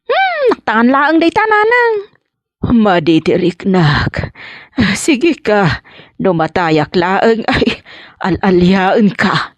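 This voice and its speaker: A woman's voice: medium pitch, sing-song, takes it slowly, dry recording. A woman with an Ilocano accent playing an ailing, complaining mother in a comedy drama, dramatic and fretful. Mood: fretful, suffering.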